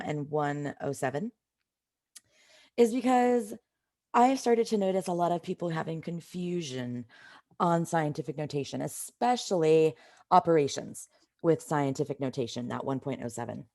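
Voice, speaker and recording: A woman with a slightly raspy voice from a cold, teaching an informal live online math lesson in a conversational way, stressing key points. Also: fairly low pitch, warm tone. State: has a cold.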